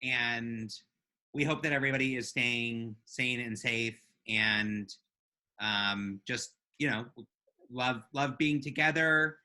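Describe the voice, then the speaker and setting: A man co-hosting a casual live podcast, easygoing and a bit halting as he talks. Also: mid-range voice, pushed and bright. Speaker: podcast co-host.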